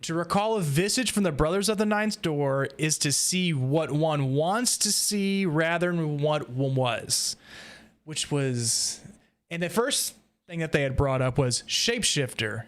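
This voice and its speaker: Slightly high-voiced male podcast host. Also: animated, normal speed, a bit bright. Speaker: podcast host leading the discussion. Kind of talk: casual roundtable discussion.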